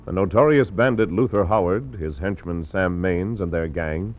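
A man's voice: low voice, normal speed, soft background music, natural voice. A man narrating a western adventure as the announcer of an old-time radio show. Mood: dramatic. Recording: dull vintage radio recording.

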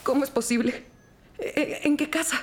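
A woman acting a stunned, grieving single mother in an audio crime drama, speaking in a halting, subdued way. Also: sits high, lightly acted. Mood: distressed. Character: grieving single mother of a boy who just died.

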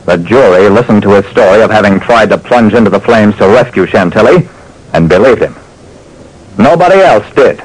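Dramatic radio detective, played by a man, animated delivery. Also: fairly low pitch, close to an everyday voice. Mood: dramatic narration. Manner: dramatic, deliberate. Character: hard-boiled male private detective telling his cases. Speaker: narrator. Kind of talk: old-time radio detective narration. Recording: noisy old radio recording.

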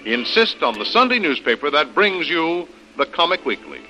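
Bright, forceful old-time radio announcer man. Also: fairly high pitch, sing-song, normal speed, faint music behind, poor audio. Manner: emphatic, formal announcing. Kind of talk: scripted 1930s radio serial narration. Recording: old radio broadcast recording.

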